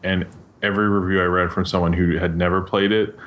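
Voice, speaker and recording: Very low-voiced man, hesitant chat. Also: flat delivery, fast, fairly bright tone. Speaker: podcast co-host. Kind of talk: casual chat.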